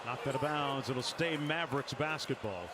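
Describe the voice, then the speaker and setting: A man's voice: medium pitch, moderate pace. A man calling a basketball game as a broadcast play-by-play announcer. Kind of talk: broadcast sports commentary. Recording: very noisy replayed arena broadcast audio.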